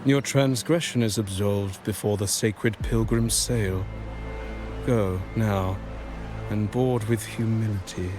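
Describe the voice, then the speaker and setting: An actor playing a solemn, pious priest in a video game cutscene, speaking in a ceremonial, preaching manner. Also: male voice, on the low side, slow, over music. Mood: solemn blessing. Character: solemn, pious male priest blessing pilgrims.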